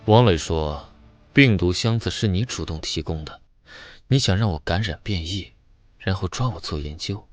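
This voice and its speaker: Casting: cold battle-hardened warrior, low-pitched, dynamic intonation, interrogator's measured menace, animated drama with music underneath. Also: male voice, no room sound. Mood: accusing, intense. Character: stern powerful male fighter interrogating, a battle veteran. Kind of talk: animated web drama dubbing.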